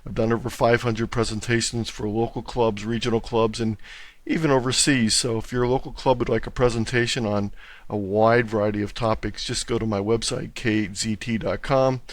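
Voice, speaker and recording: A man's voice: fairly low pitch, fairly even intonation, moderate pace, no echo. A man talking conversationally as an interview guest, explaining his hobby. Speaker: interview guest, club presenter and radio contester.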